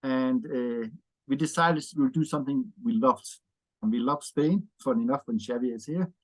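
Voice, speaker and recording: A man's voice: mid-range voice, normal speed, poor audio. A man in his fifties from Denmark with a Danish accent, a guest in a casual interview, rambling with frequent fillers and "yeah" tags. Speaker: interview guest, wine bar owner.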